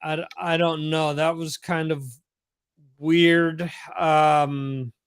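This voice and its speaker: A man with a fairly high voice who talks very slowly. He hesitates with fillers and picks his words carefully in casual chat. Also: flat delivery, smooth. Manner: slow, hesitant, measured.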